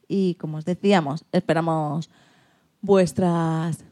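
A woman's voice: low voice, fairly even intonation, slow, mellow, dry recording, clean studio sound. A woman with an accent from Spain, hosting a radio show in a scripted presenting style.

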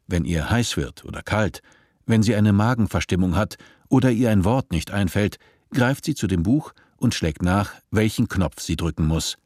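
A man's voice: low-pitched, darkish tone, dry recording, clean studio sound. A man narrating a dystopian radio drama in German, sober and detached.

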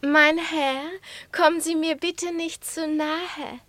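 A woman's voice: high-pitched, steady tone, takes it slowly, fairly bright tone, no room sound. A woman playing a tiny, vain, coquettish young female lapdog in a radio play, with an affected, boastful, coy manner. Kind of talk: German radio play acting.

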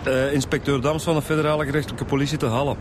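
An actor playing a polite, sympathetic Flemish police inspector in a radio drama, with outdoor traffic noise around him. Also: male voice, mid-range voice, fairly even intonation, very fast, lightly acted. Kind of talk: naturalistic radio drama acting.

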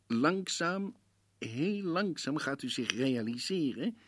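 A grave, portly old professor. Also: male voice, mid-range voice, a bit bright, husky, no room sound, heavily acted. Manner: grave, slow, telling a story to the listener. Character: portly older male professor-detective, combative. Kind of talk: 1950s radio drama narration.

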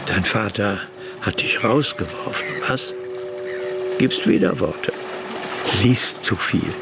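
A man's voice: lowish voice, expressive, takes it slowly, vocal fry, full character voice. An actor playing a teasing, kindly man who talks coaxingly to a small boy in a radio drama. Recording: noisy outdoor-like ambience behind.

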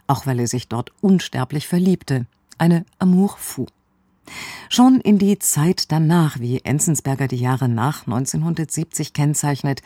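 Presenter with a dry, mellow sound. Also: medium pitch, dry recording. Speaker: radio presenter introducing an audio drama. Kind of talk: scripted narration.

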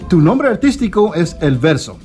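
A man's voice: high-pitched, very animated, moderate pace, mellow, soft background music. A man hosting a casual radio interview, effusive but hesitant, often ending on tag questions. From born in El Salvador, raised in Canada from early childhood.

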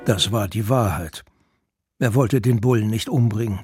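A man's voice: lowish voice, normal speed, darkish tone. A man reading dramatic scripted narration for a thriller audio drama. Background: music.

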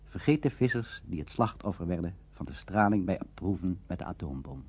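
A man narrating a scripted documentary in a measured, erudite, expressive way, with a husky voice. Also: low-pitched. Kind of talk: scripted narration on radio drama history.

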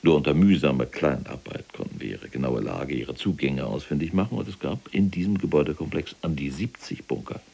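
A man's voice: very deep voice, lively intonation, brisk, gravelly, few pauses, dark tone, breathy edge, full character voice. A man playing an imprisoned, fanatical older SS general in a German audio drama, pompous and lecturing in manner. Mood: grave, reporting. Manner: pompous, lecturing, indignant when contradicted. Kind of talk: German audio drama acting, monologue and argument.

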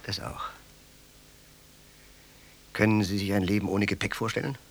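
A man's voice: fairly low pitch, little pitch movement, normal speed, slightly rough. A man acting in a German radio drama as a rich, enigmatic host who toys with his captive guest in cool, formal, cryptic replies. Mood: cool, detached.

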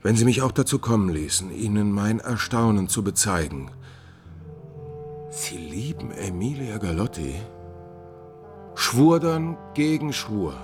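A low male voice as a scheming courtier in a 1950s radio drama. He speaks with ironic deference, his lines insinuating and very lively in pitch. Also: measured pace, long pauses, soft background music. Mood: protesting. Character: smooth-tongued, scheming male courtier serving a prince. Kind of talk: 1950s German radio play acting.

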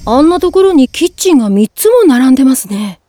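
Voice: sits high, big pitch swings, fairly quick, dark tone, dry recording. A performer playing a mild, polite young male adventurer in an anime audio drama, earnest in manner. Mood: surprised. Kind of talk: Japanese anime drama CD acting.

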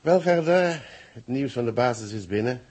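An actor playing a deliberate space-base official in 1960s radio drama, with a husky voice and a Netherlands Dutch accent. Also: male voice, medium pitch, animated, darkish tone. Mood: measured, explanatory. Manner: deliberate, explanatory. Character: measured male space-base official briefing his secretary.

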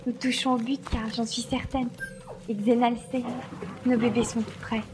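A woman's voice: on the high side, flat delivery, normal speed, lightly acted. A woman playing a determined, commanding glider pilot in a French audio drama. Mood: urgent. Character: determined female glider pilot searching for her babies.

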